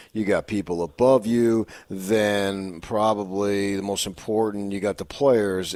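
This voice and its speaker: A man co-hosting a sports talk show, deliberately building his points and questions step by step. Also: fairly low pitch, darkish tone, no echo, clean studio sound. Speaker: sports radio co-host.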